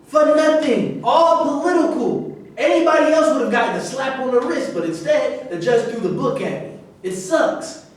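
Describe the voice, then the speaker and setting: A man in a staged play reading, playing a vain, excitable, aggrieved football star. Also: very high-pitched, moderate pace. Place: echoey indoor room at a staged reading. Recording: distant, very echoey room with noise.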